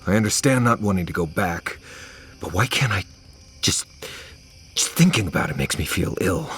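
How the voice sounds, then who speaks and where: An actor playing a troubled, vulnerable adventurer, halting and hesitant, in a video game. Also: male voice, sits low, expressive, a bit bright, soft background music. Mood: panicked, breathless. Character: anxious, troubled male adventurer, reluctant, vulnerable. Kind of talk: naturalistic video game acting.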